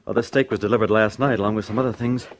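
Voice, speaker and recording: A man's voice: on the low side, steady tone, rapid-fire, lightly acted. An American man playing a fussy, anxious, apologetic office worker in a 1950s radio drama. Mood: eager, chatty. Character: fretful, apologetic male office worker fussing over his ulcer. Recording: noisy vintage radio recording.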